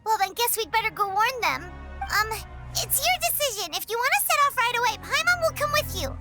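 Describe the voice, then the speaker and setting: A very high, childlike game voice plays an earnest little fairy companion. She sounds small and girlish, with music clearly behind her. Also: sing-song, brisk, sharp tone, exaggerated voice. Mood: curious, supportive. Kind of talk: video game voice acting.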